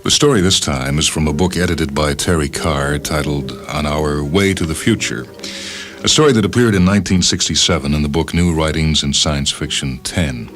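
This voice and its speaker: A man hosting a radio program, giving a scripted introduction in a measured, deliberate way. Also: extremely low pitch, fairly bright tone. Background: background music.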